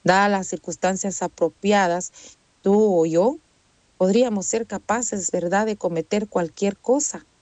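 A woman's voice: medium pitch, a little slow, darkish tone, no echo. Earnest woman preaching, dry sound. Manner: earnest, repeats "¿verdad?" and "amén" often. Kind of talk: Spanish-language sermon.

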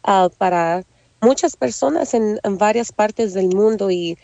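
Hoarse woman preaching over the phone. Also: mid-range voice, measured pace, mellow, dry recording, dull audio. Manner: humble, many "am" fillers, frequent amens. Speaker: guest preacher. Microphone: phone call.

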